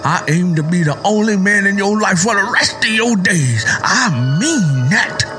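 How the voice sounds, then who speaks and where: A man's voice: high voice, lively intonation, a little slow, bright, forceful tone, full character voice. A man playing a possessive, menacing Southern suitor in an audio drama, insistent and emphatic. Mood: threatening. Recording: music behind the voice. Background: music.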